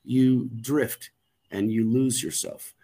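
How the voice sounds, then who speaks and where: A man's voice: mid-range voice, steady tone, slow, dark tone. A man in casual podcast conversation, rambling with frequent ums and restarts and casual swearing. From United States. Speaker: podcast co-host.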